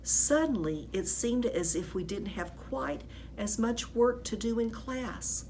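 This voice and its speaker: Slow-talking woman reading a storybook, noisy recording. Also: fairly high pitch, expressive, darkish tone. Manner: dramatic, deliberate phrasing, drops into whispers. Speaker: school staff reader of children's books. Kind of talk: expressive children's storybook read-aloud.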